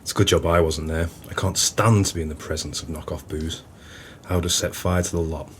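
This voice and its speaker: Very deep-voiced theatrical pub landlord played by a man. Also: steady tone, a little fast, a little hiss or hum, close to an everyday voice. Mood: grumbling. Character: grumbling, florid-tongued male pub landlord. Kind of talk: audio play acting.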